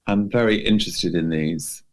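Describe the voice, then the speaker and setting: A man's voice: on the low side, moderate pace, a little mellow. A man co-hosting a podcast in casual conversation, with an inquisitive way of talking. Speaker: podcast co-host. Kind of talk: casual chat. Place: remote podcast recording.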